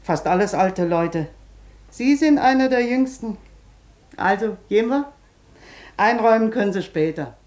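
An actress in a German radio play playing a bossy, talkative long-term patient, blunt and colloquial. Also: female voice, mid-range voice, lively intonation, a little slow, long pauses, a little mellow, natural voice. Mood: chatty, knowing. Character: bossy, chatty long-term woman patient showing newcomer around.

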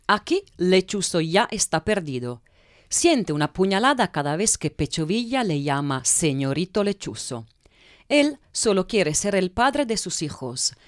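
A woman's voice: lowish voice, sing-song, unhurried, dry recording, studio quality. A woman from narrating a scripted audio drama in a wry, knowing way.

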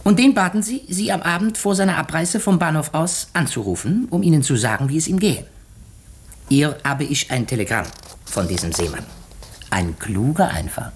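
A shrewd old-time radio detective, husky voice that swings up and down, slow dramatic pauses. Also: male voice, a little high, expressive. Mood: calm, certain. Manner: deliberate, theatrical explaining with dramatic pauses. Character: shrewd, methodical male detective unmasking a murderer. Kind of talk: 1950s German radio play acting.

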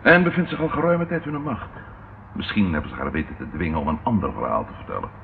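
An actor playing a shrewd policeman in a radio play, deliberate and matter-of-fact in manner. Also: low-pitched, sing-song, vocal fry, exaggerated voice. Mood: reasoning. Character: measured, shrewd policeman colleague reporting grim findings. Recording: muffled, noisy old radio recording.

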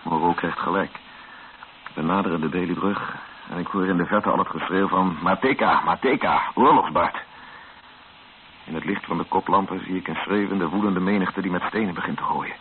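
A man's voice: low-pitched, natural voice. An actor in a radio play as a captive man sombrely narrating his ordeal. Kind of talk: dramatised first-person radio narration. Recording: tinny old radio recording, faint noise.

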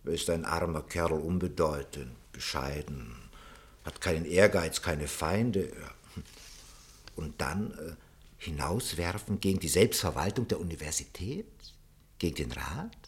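A man's voice: rumbling low, expressive, unhurried, exaggerated voice. An actor playing a servile, ambitious, scheming male minister in a German radio play, with an obsequious, theatrical way of talking. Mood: protesting.

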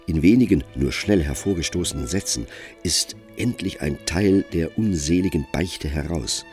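Man, extremely low voice, lively intonation, grave literary narration, radio play, expressive. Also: moderate pace, faint music behind.

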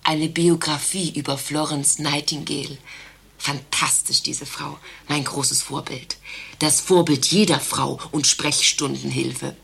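Radio satire: pompous, loyal surgery receptionist, slightly rough voice, emphatic delivery. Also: female voice, sits low, steady tone, slow pace, fairly bright tone, exaggerated voice. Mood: earnest, admiring. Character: devoted, pompous doctor's receptionist who idolises Florence Nightingale. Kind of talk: German satirical radio play acting.

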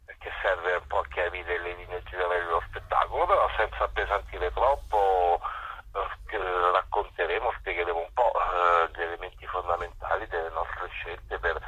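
A man, a musician being interviewed on radio, explaining things in a halting, thoughtful way. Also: sits low, steady tone, sharp tone, husky, dry recording. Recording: muffled, band-limited phone-like radio sound.

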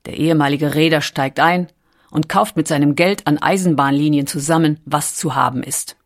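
A woman reading scripted documentary narration in a composed, carefully articulated storytelling manner. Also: low voice.

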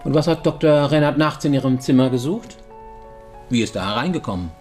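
An actor playing a sardonic police inspector who questions people formally in a radio crime drama. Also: male voice, medium pitch, animated, brisk, darkish tone, lightly acted. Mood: probing, dry. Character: dry, probing male police inspector on a night call. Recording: studio radio play, faint music.

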